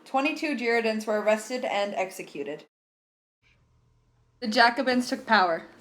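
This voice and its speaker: A woman's voice: fairly high pitch, animated, moderate pace, a bit bright. A woman reading scripted history narration as the narrator of a puppet show.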